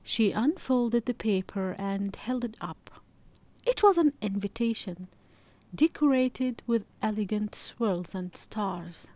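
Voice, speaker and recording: A woman reading a children's story aloud in expressive scripted narration. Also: mid-range voice, takes it slowly, no echo.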